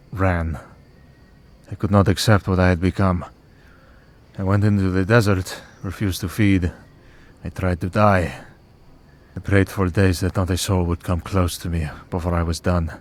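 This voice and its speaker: A man playing a weary, devout and gentle vampire hunter priest in an ASMR roleplay, speaking in a measured, solemn way with pauses. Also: low-pitched, natural voice. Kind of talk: ASMR roleplay audio drama.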